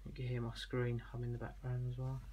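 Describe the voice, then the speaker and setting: A man's voice: medium pitch, monotone, brisk, dull audio, noisy background. A man giving an informal product demonstration as an online seller, explaining in a conversational, slightly hesitant way.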